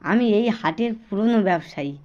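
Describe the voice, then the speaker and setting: High-voiced, flustered milkman husband acted by a man. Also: a little fast, highs cut off, a little hiss or hum. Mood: exasperated. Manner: exasperated, repeats phrases excitedly. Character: flustered, grumbling milkman husband in a village market. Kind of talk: Bengali comedy cartoon dialogue.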